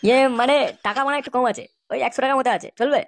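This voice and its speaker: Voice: high-pitched, racing. A performer voicing a hot-headed, crude young male layabout in a comedy cartoon, blustering and swearing. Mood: wheedling. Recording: noisy background hiss.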